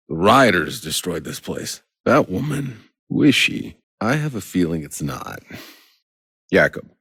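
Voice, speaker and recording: An actor playing a world-weary, sardonic soldier in a video game, gravelly, dry and blunt. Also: male voice, low-pitched, fairly bright tone, no room sound, heavily acted. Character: gruff, world-weary male Outrider soldier, sardonic and foul-mouthed.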